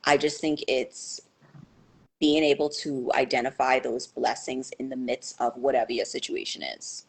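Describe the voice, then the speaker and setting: A woman's voice: a bass voice, level tone, normal speed, vocal fry, breathy edge, poor audio. A young woman answering interview questions in a thoughtful, hesitant way, with many fillers and restarts. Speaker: guest.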